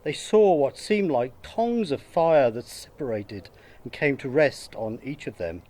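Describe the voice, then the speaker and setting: A man reading a Bible passage aloud in church in a measured, deliberate way. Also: medium pitch, big pitch swings, darkish tone. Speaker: Bible reader.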